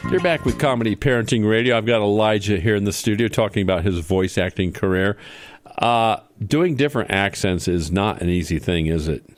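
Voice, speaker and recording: A man with a somewhat low voice hosts a comedy radio show from a studio. He interviews his guest in a playful, mock-serious way, steering the talk with leading questions. Music plays clearly underneath him. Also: a little fast.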